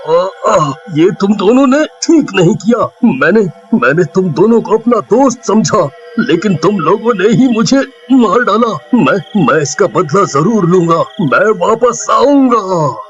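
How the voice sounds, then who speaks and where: An earnest young village hero in a story drama, acted by a man, high in pitch. He speaks with proud, melodramatic emphasis over soft music. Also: expressive, a little slow, few pauses, lo-fi sound. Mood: wounded, vengeful. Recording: music under the voice.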